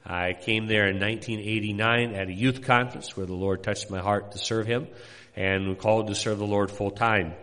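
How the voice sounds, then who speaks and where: A middle-aged missionary pastor from Indiana addresses a congregation in a folksy, meandering way, filling his pauses with "uh". His voice sits fairly low, and it carries through the long echo of a big hall. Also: steady tone, a bit bright. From Indiana, USA. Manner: folksy, rambling, many "uh" pauses. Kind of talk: sermon introduction to a congregation.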